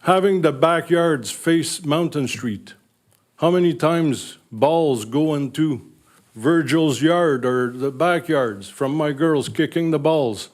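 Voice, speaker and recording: A man's voice: a little high, slow. An older man with a French Canadian accent, French his first language, speaking earnestly and bluntly as a resident addressing a town council. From Northern Ontario, Canada. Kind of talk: public comment at a council meeting.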